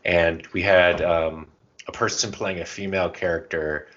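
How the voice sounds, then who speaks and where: Muffled, lo-fi audio carries a very low-pitched man's voice. He talks casually as a podcast co-host, explaining things through examples in a loose, conversational way. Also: fairly even intonation, moderate pace, fairly bright tone. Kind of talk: casual conversational advice and anecdotes. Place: podcast recording. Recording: dull, muffled lo-fi sound.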